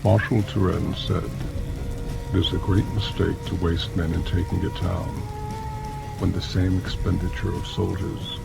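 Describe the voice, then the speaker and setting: A man's voice: deep voice, little pitch movement, measured pace, creaky, over loud music, rough recording. A man giving a dramatized scripted reading for an audiobook. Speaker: audiobook reader.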